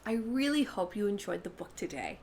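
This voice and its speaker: A woman hosting a story time, reading a picture book aloud to young children with deliberate, emphatic phrasing. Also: medium pitch, big pitch swings, darkish tone, slight background noise. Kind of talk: expressive children's read-aloud narration.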